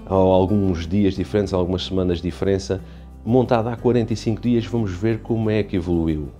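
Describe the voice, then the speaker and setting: A deep-voiced man from Portugal with a European Portuguese accent talks in a relaxed, chatty way, often restarting his sentences. His tone is dark and mellow, and faint background music plays under him. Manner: chatty, rambling, many restarts and fillers. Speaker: aquarium hobby youtuber. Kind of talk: casual walkthrough narration.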